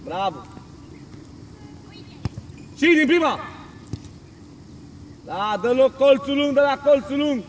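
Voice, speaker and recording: A man coaching football, calling out loud, urgent short commands and praise during a training drill. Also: extremely high pitch, long pauses, a little hiss or hum. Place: outdoors on a football training pitch.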